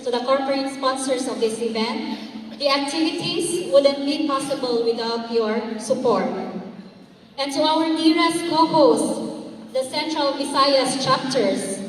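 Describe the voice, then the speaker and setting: A woman's voice: high voice, a little slow. A woman with a Filipino accent giving a formal, emphatic welcome speech as an officer at a convention. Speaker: convention speaker, organization officer. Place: large indoor sports complex over PA. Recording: very echoey large hall, distant, faint noise.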